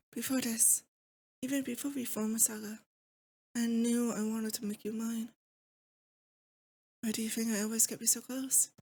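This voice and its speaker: A woman's voice: on the high side, a little mellow, breathy edge. A woman acting as a lovesick, possessive demon lord in a romantic ASMR roleplay, intimate and doting with a theatrical menace.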